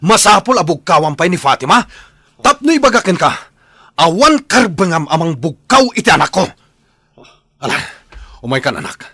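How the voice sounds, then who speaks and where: A man's voice: a little high, sing-song, moderate pace, long pauses, bright, forceful tone, breathy edge, exaggerated voice. An actor playing a protective, indignant younger brother and family man in a radio drama, earnest and insistent.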